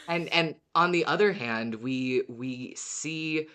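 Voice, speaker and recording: This is a podcast host in relaxed, casual fan discussion. The delivery is animated and unscripted, and swearing slips in freely. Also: medium pitch.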